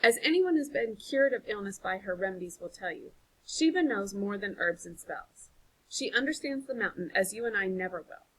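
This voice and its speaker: Reader as a firm, husky village woman. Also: medium pitch, moderate pace, poor audio. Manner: steady, resolute, persuasive.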